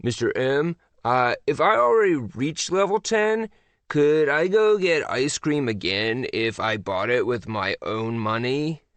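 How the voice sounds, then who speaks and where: A man's voice: medium pitch, expressive, a little slow, fairly bright tone, clear, resonant tone, dry recording, voice pushed up. A man voicing a cheeky schoolboy classmate with a teasing manner in a storybook. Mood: hopeful.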